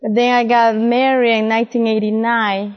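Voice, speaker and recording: Woman, halting English, slightly high, reverberant lo-fi recording. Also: level tone. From El Salvador. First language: Spanish. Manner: halting, simple non-native English. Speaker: interview guest, missionary.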